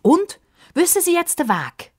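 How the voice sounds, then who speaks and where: A woman's voice: very high-pitched, wildly swooping pitch, measured pace, voice pushed up, full character voice. A woman playing a pushy, impatient young girl in a children's story, direct and urging. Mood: pushing.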